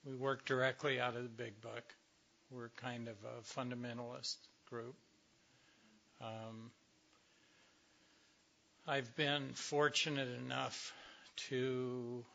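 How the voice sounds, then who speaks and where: Older man, hoarse, slow and reflective, bright tone, dull lo-fi recording. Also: medium pitch. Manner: slow, reflective, with many pauses and ums. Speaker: speaker at a recovery workshop. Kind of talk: personal talk to a meeting.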